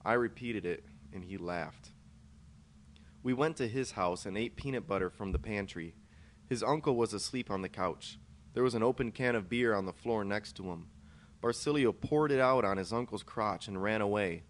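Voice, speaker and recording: A man's voice: on the low side, normal speed. A man reading his own first-person short story aloud as scripted narration. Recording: clear background noise, amateur podcast recording.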